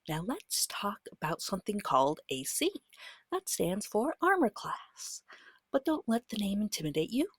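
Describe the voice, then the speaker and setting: A woman speaking softly and breathily, often near a whisper, gently and patiently guiding a beginner as game master in an ASMR roleplay. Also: mid-range voice, breathy edge, no room sound. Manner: gentle, patient, reassuring, unhurried. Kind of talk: soft-spoken ASMR roleplay tutorial.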